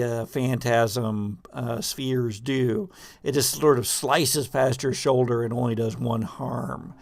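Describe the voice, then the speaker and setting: A man improvising narration as game master of a tabletop game, telling it haltingly and dramatically with drawn-out pauses. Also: mid-range voice, gravelly, no echo. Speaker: game master of a tabletop role-playing show.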